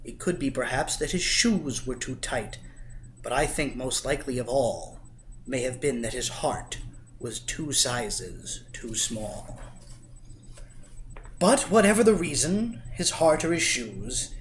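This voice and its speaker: A man reading a rhyming children's story aloud in an expressive, theatrical and emphatic way. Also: mid-range voice, slow pace, slight background noise, rough recording. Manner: theatrical, emphatic, swelling and dropping for effect. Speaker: storybook reader.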